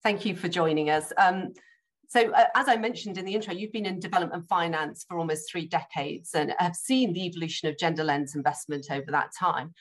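A middle-aged woman moderating an online panel discussion over a video call, polite and measured, with frequent ums. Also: on the low side. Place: home office, indoors.

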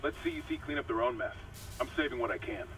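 An actor playing a commanding, gruff male officer on a stricken spaceship in a dramatic game. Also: medium pitch, wildly swooping pitch, quick pace, background noise. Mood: resolute. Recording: distant radio call under music.

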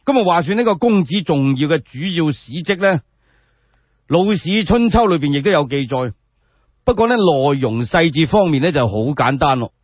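A man telling a story in Cantonese in a dramatic, colloquial radio storytelling style, with drawn-out phrasing and rhetorical questions. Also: on the high side, expressive, husky, dry recording. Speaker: solo storyteller. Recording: dull lo-fi sound.

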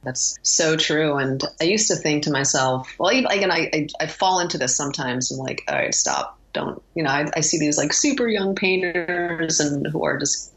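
Deep-voiced woman in rambling casual chat. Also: expressive, normal speed, few pauses, poor audio. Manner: rambling, self-interrupting. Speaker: interview guest, painter.

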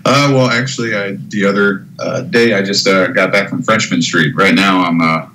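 A man's voice: sits low, moderate pace, pushed and bright, a little hiss or hum, rough recording. A man in his thirties, a podcast guest, chatting in a laid-back, dryly joking way. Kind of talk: casual chat.

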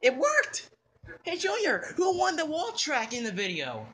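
A performer voicing an excitable young boy Koopa in a toy skit, squealing and gushing in childlike exclamations. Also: high voice, a little slow, background noise, exaggerated voice. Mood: pestering.

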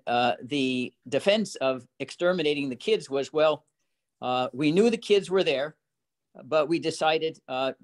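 An older man in his sixties speaking deliberately, with frequent fillers, on a video call from a small room at home. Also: medium pitch. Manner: measured, deliberate, with many "uh" fillers. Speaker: panelist. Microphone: laptop webcam on a video call.

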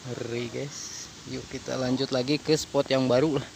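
A man talking excitedly to viewers while catching eels outdoors. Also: medium pitch, normal speed, vocal fry. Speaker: fishing vlogger. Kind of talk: casual outdoor chat. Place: outdoors by rice paddy ditch. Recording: outdoor ambience, faint noise.

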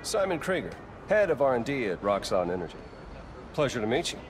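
An actor playing a slick, flattering corporate research executive with an American accent, glib and chummy, in a video game cutscene. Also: male voice, medium pitch, a little fast, background noise, close to an everyday voice. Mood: impressed, chummy.

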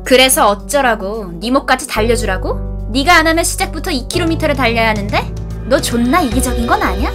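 A woman's voice: sits high, lively intonation, fairly quick, over music. A woman voicing a blunt, scornful young woman who swears and talks down to others. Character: harsh, foul-mouthed young woman.

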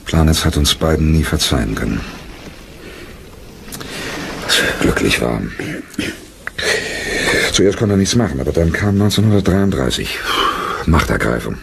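Measured, bitter troupe leader in radio drama; deep voice over clear noise. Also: male voice, sing-song, heavily acted. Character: bitter, dry-humoured theatre troupe leader. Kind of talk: German radio play acting. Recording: clearly noisy background.

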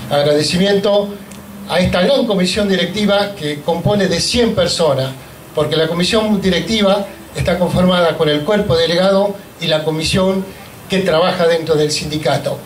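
A middle-aged Argentine man, a union leader, giving a grateful, emotional speech at an inauguration into a handheld mic in a large hall. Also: high-pitched. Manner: emotional, grateful, halting phrasing. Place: large event hall, indoors. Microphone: handheld microphone on a stand. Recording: echoey hall with faint noise.

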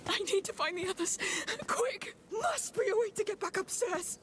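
Defiant young game heroine, very high and urgent, breathy with strain, over loud background noise. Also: female voice, moderate pace. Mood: urgent, frightened. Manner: urgent, muttering to herself between shouts. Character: Amicia, defiant, hunted young woman protecting her brother. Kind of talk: dramatic video game acting.

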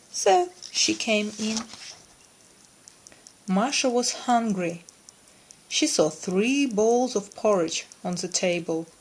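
A woman narrating a scripted children's story, phrasing it deliberately for English learners. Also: mid-range voice, a little mellow, husky.

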